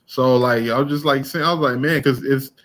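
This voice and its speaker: A man's voice: mid-range voice, steady tone, fairly quick, a bit bright. A man, talking casually as a podcast host, rambling with fillers and restarts. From American Midwest. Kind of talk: casual conversation.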